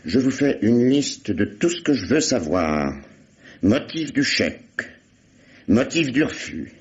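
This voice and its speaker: A man's voice: mid-range voice, very animated, takes it slowly. An actor playing a wry, insistent investigator in a vintage radio mystery, sardonic and falsely fatherly as he questions a suspect. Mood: sardonic, pressing. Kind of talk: 1950s French radio mystery acting. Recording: dull lo-fi old radio recording.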